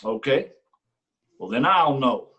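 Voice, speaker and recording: A man playing a rural lawman in a staged reading, needling others with slow, threatening questions. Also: medium pitch, lively intonation, pushed and bright. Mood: menacing. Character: menacing man who is the local law.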